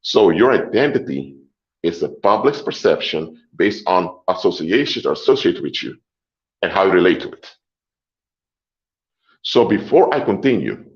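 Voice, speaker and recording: A man hosting a livestream, lecturing deliberately and emphatically, repeating his points and closing them with short clinchers. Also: sits low, lo-fi sound. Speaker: livestream host. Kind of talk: lecturing monologue to a live audience.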